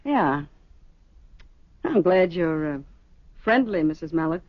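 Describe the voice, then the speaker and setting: An actress playing a breezy, worldly young mistress in a radio drama, speaking in casual, matter-of-fact slang. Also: female voice, low voice, expressive, slow pace, no room sound, full character voice. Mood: frank, casual. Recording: dull vintage radio recording.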